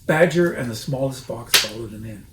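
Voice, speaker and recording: A man's voice: mid-range voice, big pitch swings, moderate pace, creaky. A man hosting a story time, reading aloud theatrically with chatty, casual asides. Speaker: storybook reader and host. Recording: roomy echo, faint noise.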